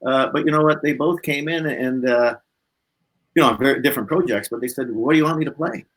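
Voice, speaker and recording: A man with a husky voice, a musician being interviewed, talking casually and reflectively and repeating points for emphasis. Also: mid-range voice, fairly quick, fairly bright tone, low-quality recording.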